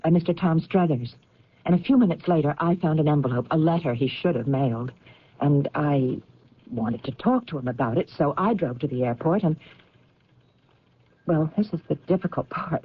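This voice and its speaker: A woman's voice: on the low side, husky, phone-quality sound. A woman acting in an old-time radio drama as an insistent wife convinced that her husband is alive, earnest and emphatic under strain.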